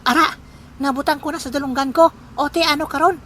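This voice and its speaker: A woman's voice: high voice, fairly even intonation, a little fast, a bit bright, a little hiss or hum. A woman with a Western Visayan accent playing a boastful, gleeful cockfight gambler in a comedic audio drama, speaking in exclamations. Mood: puzzled. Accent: Filipino, Western Visayan.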